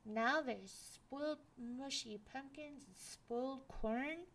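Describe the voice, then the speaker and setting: A woman reading a picture book aloud at library story time, expressive and dropping to hushed whispers. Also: high voice, slow pace, a little hiss or hum.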